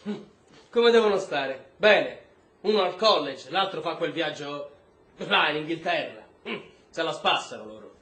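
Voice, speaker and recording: An actor playing a volatile, domineering army officer and husband in a stage-style drama, coarse and contemptuous. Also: male voice, on the high side, expressive, measured pace. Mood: weary, irritable. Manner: coarse, contemptuous, erupting into shouts. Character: volatile, bitter, domineering military husband, prison camp officer. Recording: roomy echo, faint noise.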